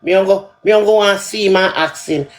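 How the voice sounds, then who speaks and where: A woman's voice: medium pitch, fairly quick, background noise. A woman with a Jamaican accent in a heated casual livestream chat, repeating herself emphatically. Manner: repetitive, emphatic. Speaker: livestream participant.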